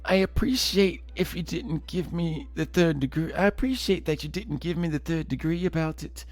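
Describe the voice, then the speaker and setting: A man's voice: on the high side, moderate pace, faint music behind, pitched above the performer's own voice, lightly acted. A man voicing a polite, apologetic young housemaid bird who speaks hesitantly in a video game. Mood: sheepish, confiding.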